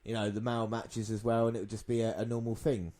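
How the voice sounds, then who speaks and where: A man's voice: lowish voice, flat delivery, fast, warm tone. A man co-hosting a podcast, chatting casually about sport. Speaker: podcast co-host.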